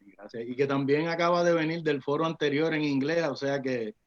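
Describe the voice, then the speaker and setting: A man's voice: a little high, a little fast. A man moderating a panel in a loose, folksy way with many fillers. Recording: dull lo-fi audio.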